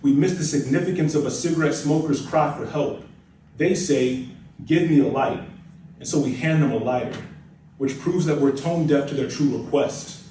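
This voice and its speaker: A young American man reciting spoken word poetry with dramatic, deliberate phrasing and emphatic repetition. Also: fairly high pitch, dark tone. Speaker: spoken word poet, nursing student. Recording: echoey room, distant mic, faint noise.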